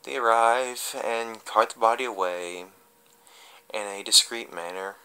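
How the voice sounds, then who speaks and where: A man giving an amateur dramatic reading of a horror story as a confessional, halting and stumbling, with drawn-out pauses. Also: fairly low pitch, sharp tone, clean tone, rough recording.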